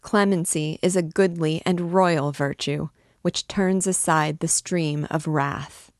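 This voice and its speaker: A man's voice: high voice, steady tone, unhurried, no echo, studio quality, pitched above the performer's own voice. A woman voicing a desperate male prisoner, begging the king for mercy in tearful pleas. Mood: pleading.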